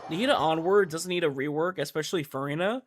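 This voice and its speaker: A young man livestreaming a game, chatting casually in a rambling, halting way with animated emphasis. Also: fairly high pitch, soft background music. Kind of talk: casual livestream chat over gameplay.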